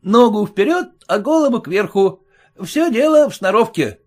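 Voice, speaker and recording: A fawning, self-important footman with a high, bouncing voice that climbs on every boast, played by a man reading a story aloud. He chatters eagerly and ingratiatingly. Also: sing-song, moderate pace, a little mellow. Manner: ingratiating, adds servile "-с" to words. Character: fawning ambitious male footman, puffed-up.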